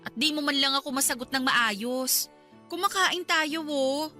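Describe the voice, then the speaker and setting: A woman's voice: sits high, a little slow, bright, forceful tone, faint music behind. A woman playing a hurt, jealous wife in a Tagalog radio drama, nagging and pleading with emotion. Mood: irritated, suspicious. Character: hurt, jealous young wife confronting her husband.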